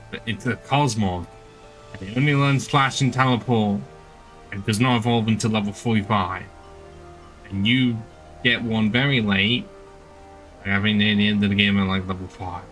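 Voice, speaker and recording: A man's voice: on the low side, a bit bright. Sardonic man commentating over game music. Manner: exasperated, sardonic, nitpicking. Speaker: streamer playing a video game. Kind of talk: casual game commentary with dialogue read aloud.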